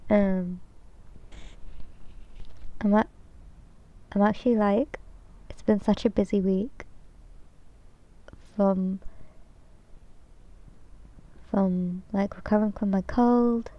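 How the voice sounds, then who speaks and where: A woman's voice: medium pitch, steady tone, slow, long pauses, dry recording, muffled recording. A young woman streaming as a VTuber, chatting casually and hesitantly with lots of fillers, her voice nasal from a cold. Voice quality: hesitant, many fillers and restarts. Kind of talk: rambling casual chat.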